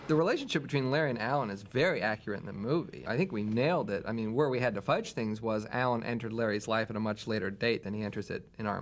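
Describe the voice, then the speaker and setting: A man, a screenwriter, briskly explaining choices made in adapting a true story. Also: lowish voice. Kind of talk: retrospective interview.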